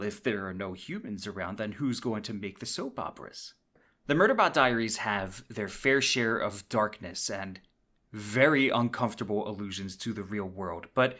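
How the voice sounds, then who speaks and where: A man's voice: fairly low pitch, a bit bright. A man hosting a podcast, giving semi-scripted book commentary in a conversational, explanatory way with casual asides. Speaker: podcast host.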